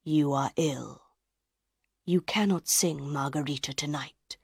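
Woman doing a hushed menacing letter voice. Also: sits low, creaky, husky, dry recording, clean studio sound, pitched below the performer's own voice, exaggerated voice. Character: menacing anonymous letter writer.

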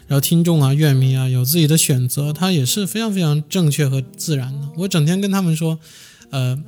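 Modest man with a higher-pitched voice. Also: brisk, darkish tone, soft background music. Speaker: musician, interview guest. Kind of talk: casual interview chat.

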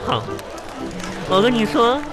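A man's voice: very high voice, sing-song, takes it slowly, over loud music, a loud crowd, exaggerated voice. Man putting on an affected, prissy young woman, theatrically swooping. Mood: prim, affected. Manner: pretentious, mincing, over-careful diction. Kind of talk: live comedy radio drama.

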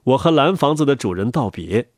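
A man reads a children's story aloud in a gentle, animated way. His voice is husky and its pitch moves a lot. The sound is dry and close, with soft music underneath. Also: fairly quick, no room sound. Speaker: storyteller reading to children, the father. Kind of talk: expressive children's story reading.

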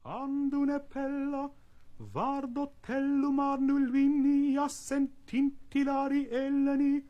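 A woman's voice: high voice, level tone, slow pace. A woman giving a solemn, chant-like recitation in a dull, band-limited recording.

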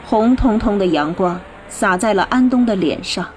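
A woman narrating a scripted radio drama in a clearly phrased storytelling manner. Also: medium pitch, expressive, normal speed, slight background noise. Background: music.

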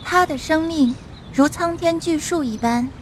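A woman's voice: sits high, unhurried. A woman playing a spirited, curious young archer with a direct manner in an audio drama. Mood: wistful reading. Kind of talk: Chinese audio drama acting. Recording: clear background ambience noise.

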